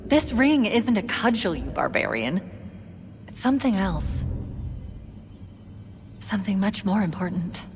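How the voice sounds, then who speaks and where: A woman's voice: mid-range voice, sing-song, unhurried, long pauses. A woman playing a brilliant, imperious artificial intelligence in a video game, with an intense, dramatic delivery. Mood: haughty, excited. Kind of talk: cinematic video game acting. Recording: long hall reverb with music behind.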